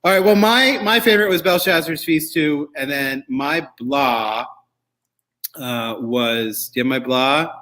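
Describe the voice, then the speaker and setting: A man's voice: fairly high pitch, measured pace, a bit bright. A man hosting a casual panel chat about choral music, joking and informal, with strong opinions. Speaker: show host.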